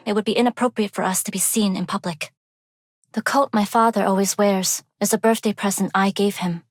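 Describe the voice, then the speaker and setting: A woman voicing a refined young underworld heiress in a video game, speaking in a composed, measured and formal way. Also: mid-range voice, no room sound, clean studio sound, close to an everyday voice. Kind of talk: scripted game character voice lines.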